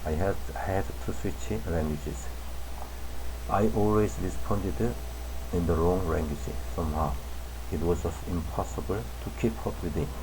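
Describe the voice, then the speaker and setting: A man whose first language is Korean reading an English news article aloud slowly and carefully, sometimes restarting a tricky word. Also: sits low, background noise.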